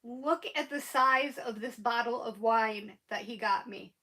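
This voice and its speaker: Woman, high voice, sniffly head cold, chatty and rambling, casual livestream host. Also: measured pace, bright, forceful tone. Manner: chatty, rambling, laughs often.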